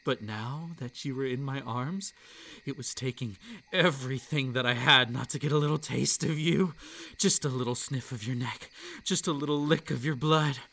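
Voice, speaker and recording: A man voicing a possessive, gloating yandere kitsune monster boy in an intimate, hushed, menacing ASMR roleplay monologue. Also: medium pitch, moderate pace, gravelly. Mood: hungry, possessive. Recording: night insect ambience behind the voice. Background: crickets and night insects.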